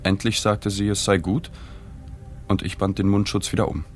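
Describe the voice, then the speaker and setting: An actor playing a reserved, observant male journalist in a literary radio drama, speaking in a plain, measured, restrained way. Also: on the low side, flat delivery, soft background music, close to an everyday voice. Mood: reflective. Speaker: narrator.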